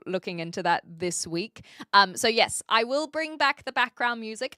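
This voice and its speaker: A woman's voice: medium pitch, bright, forceful tone, smooth, no echo, studio quality. A woman from New Zealand with a neutral mixed Australian-British accent, chatting casually and playfully to livestream viewers with careful articulation. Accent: neutral Australian-British mix. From New Zealand, later Australia and the UK. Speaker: livestream host.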